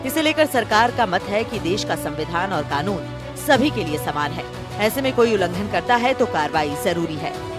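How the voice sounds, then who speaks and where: A woman narrates a scripted news report in an animated voice with lively swings in pitch. Music plays clearly behind her. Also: normal speed.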